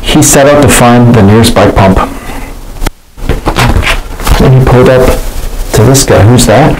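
A man in his thirties hosting a storytime, reading a children's story with deadpan, tongue-in-cheek asides, on a lapel mic in a small bathroom. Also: medium pitch, a little slow. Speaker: storytime host. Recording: noticeable background noise.

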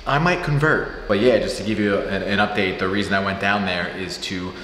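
A man in his thirties talking casually and excitedly to camera as a vlogger, with lots of fillers, in front of a green screen. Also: deep voice, brisk. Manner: excited, chatty, filler-heavy. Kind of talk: casual vlog talk to camera. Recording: echoey room with background noise.

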